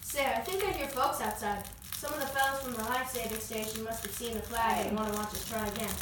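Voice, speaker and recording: High-pitched eager young inventor, played by a woman, echoey. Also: quick pace, lightly acted. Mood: calm, companionable. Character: Orville Wright, eager young male inventor, excited first flyer. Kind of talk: amateur family radio play. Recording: echoey room, distant mic, noisy hiss.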